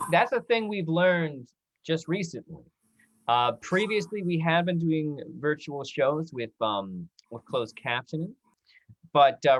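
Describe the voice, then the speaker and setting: A man's voice: a little high, a bit bright, highs cut off. Male moderator, casual and encouraging, hosting an online arts talkback. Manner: appreciative, encouraging. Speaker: host of a post-show discussion. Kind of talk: casual moderated panel talk. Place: online video discussion.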